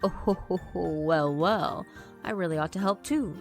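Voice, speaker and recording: A woman voicing a large, polite old male headmaster yokai with a drawn-out, avuncular manner. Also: on the low side, faint music behind, natural voice. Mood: helpful, jolly.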